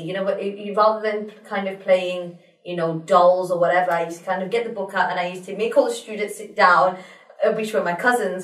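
A young woman, a teacher, speaking as a studio guest, fluent and chatty with frequent fillers. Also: medium pitch. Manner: fluent, chatty, peppered with you know. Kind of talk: studio interview. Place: small studio in front of a pink backdrop. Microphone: desk mic on a stand. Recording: roomy sound from a distant mic.

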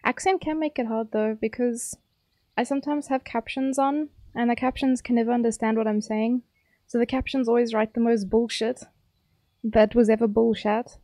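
A woman's voice: on the high side, fairly even intonation, moderate pace, dark tone, dry recording. A young woman with a South African accent, chatting casually and teasingly with her viewers while streaming a game. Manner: chatty, playful, teasing chat.

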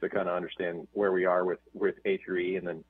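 A man's voice: low voice, little pitch movement, quick pace, breathy edge, no room sound. A man chairing a board meeting on a video call, rambling and repeating words. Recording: tinny phone-like call audio.

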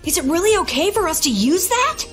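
A very high, swooping youngster's voice for a fantasy game. The kid sounds eager and excitable, with light music behind. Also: female voice, fairly quick, full character voice. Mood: eager, animated. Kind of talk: animated game voice acting.

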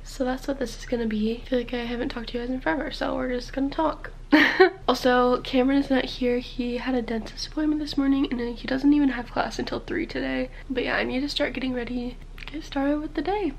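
Young woman vlogger, stuffy, chatty, raised voice with some vocal fry, close camera in a small bedroom. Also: high-pitched, steady tone, brisk, a little hiss or hum. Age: young adult. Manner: chatty, lots of "like" and "literally". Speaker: college student vlogger. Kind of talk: casual chatty vlog.

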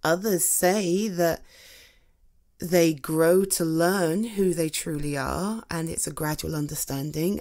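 A British woman playing a supportive, gently teasing friend in an ASMR roleplay, talking in a hesitant, reassuring, conversational way. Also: low-pitched. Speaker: audio roleplay performer.

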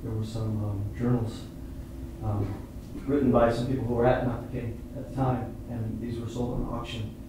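A middle-aged man talks informally with an audience from a theatre stage. He explains in a halting, earnest way. The sound is very reverberant and picked up from far off, with clear noise behind. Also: medium pitch, flat delivery. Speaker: playwright and performer at a post-show talkback. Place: indoor theatre stage, seated on the floor. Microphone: far camera microphone. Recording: distant echoey hall recording with noise.

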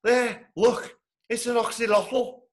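A man voicing an excited little boy with a thick Liverpool accent, in an anecdote. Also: extremely high pitch, slow pace, pitched above the performer's own voice. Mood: excited wonder. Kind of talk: anecdote impression.

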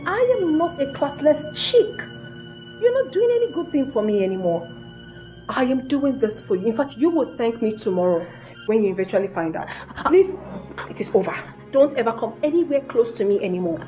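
Woman acting a high-voiced, haughty Nigerian girlfriend. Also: animated, normal speed, dark tone, soft background music, rough recording. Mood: scornful, haughty. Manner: emphatic, scolding, repetitive. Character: haughty, materialistic young girlfriend, blunt and scornful. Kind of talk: comedic melodrama acting.

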